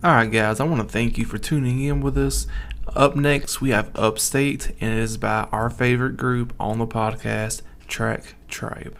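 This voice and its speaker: A man co-hosting a podcast in casual chat, informal and a bit halting, repeating his words. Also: medium pitch, fairly even intonation, gravelly, fairly bright tone. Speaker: podcast co-host.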